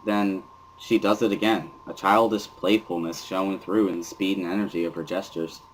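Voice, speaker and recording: A man's voice: low-pitched, fairly even intonation, normal speed, a little hiss or hum, poor audio. A man giving an amateur dramatic reading of first-person narration from a visual novel. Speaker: narrator and reader. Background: music.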